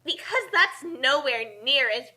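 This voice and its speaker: Woman putting on a high-pitched, cocky girl adventurer. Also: animated, takes it slowly, sharp tone, exaggerated voice. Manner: boastful and theatrical. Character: brash, cocky, dramatic adventuring girl hero.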